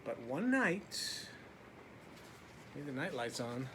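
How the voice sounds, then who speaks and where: A man's voice: mid-range voice, sing-song, takes it slowly, background noise. A man reading a picture book aloud as a teacher, with simple asides to his young listeners.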